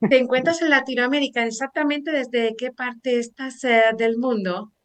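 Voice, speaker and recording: Una mujer con acento de España presentando en directo un programa de radio, charlando con soltura y muchas muletillas. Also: female voice, high voice, fairly even intonation, normal speed. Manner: chatty and warm, frequent fillers like "eh" and "pues bueno". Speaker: radio show host. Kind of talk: live radio talk show hosting.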